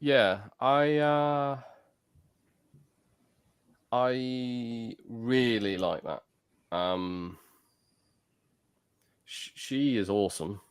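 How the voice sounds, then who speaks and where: A man's voice: medium pitch, very slow, pauses often, smooth. A man co-hosting a music review podcast, chatting hesitantly with many ums while praising a singer's vocals. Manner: hesitant, lots of ums, enthusiastic about vocals. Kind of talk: casual chat.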